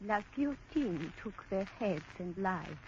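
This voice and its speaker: A woman's voice: mid-range voice, lively intonation, darkish tone. An actress playing a cryptic, ominous old woman who speaks in riddles, in a 1940s radio drama. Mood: chanting. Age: older. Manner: slow, chanting, speaks in riddles. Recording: dull old radio broadcast, faint hiss.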